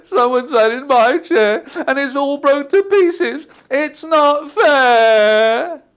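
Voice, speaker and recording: A man's voice: extremely high pitch, expressive, slow, voice pushed up, full character voice. A man voicing a whiny, upset little bear cub in a storybook reading. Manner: whiny, loud, sulky.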